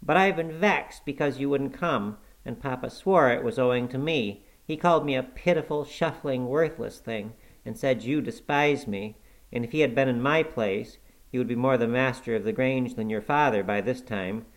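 A man's voice: mid-range voice, moderate pace, voice pushed up, natural voice, poor audio. A man performs a peevish, ailing boy in an audiobook. The voice is lifted well above a man's normal talk, with a fretful, self-pitying whine. He sounds spoiled and forever complaining. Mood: plaintive. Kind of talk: audiobook character acting.

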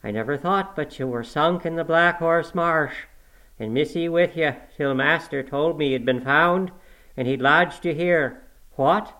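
A man voicing an excitable, kindly country housekeeper woman in an audiobook, with exclamatory rustic phrasing. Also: a little high, unhurried, pitched above the performer's own voice, poor audio. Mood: excited, gossipy. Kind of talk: audiobook character acting.

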